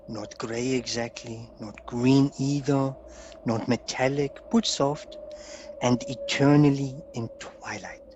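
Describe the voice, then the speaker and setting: An actor playing a wry, genial hunter from a magical painting in an unhurried, theatrical audio play style. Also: male voice, medium pitch, a little mellow, muffled recording, slight background noise.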